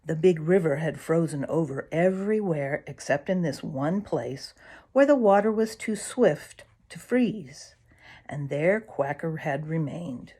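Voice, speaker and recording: A woman reading a children's storybook aloud as a storyteller, with dramatic swells and emphatic pauses. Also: deep voice, animated, warm tone, husky. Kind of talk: expressive children's storybook narration.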